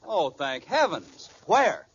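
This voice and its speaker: A man's voice: high-pitched, sing-song, slow, exaggerated voice. An actor playing an exasperated man lost in the desert, earnest and theatrical, in an old radio comedy. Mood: excited, exasperated. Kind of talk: 1940s radio comedy acting. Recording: dull, noisy old radio recording with audience.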